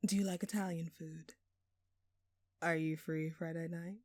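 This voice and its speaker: Woman acting as a slow, smug, flirtatious thief. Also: low voice, steady tone, no echo, studio quality. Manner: teasing, theatrical, playfully sarcastic. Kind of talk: intimate scripted audio roleplay.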